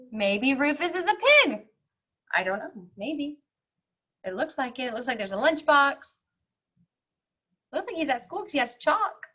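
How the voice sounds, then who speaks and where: A woman's voice: high-pitched, fairly bright tone, muffled recording. A woman teacher reading a picture book aloud to young children, expressively, with simple explanatory asides.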